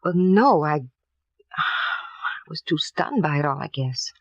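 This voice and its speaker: An actress playing a frightened, defensive American doctor's wife, earnest and halting, in a 1950s radio drama. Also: female voice, sits low, lively intonation, no room sound, full character voice. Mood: distressed, pleading. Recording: dull, dry vintage radio recording.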